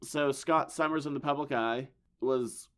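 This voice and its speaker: Hoarse man hosting a podcast. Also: mid-range voice, unhurried, fairly bright tone. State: hoarse, losing his voice. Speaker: podcast host. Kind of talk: casual chat.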